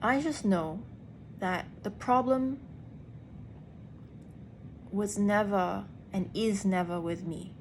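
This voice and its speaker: A woman actor speaking in an earnest, deliberate personal monologue with emphatic pauses. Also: medium pitch, slight background noise, rough recording. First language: English. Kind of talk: reflective personal vlog monologue.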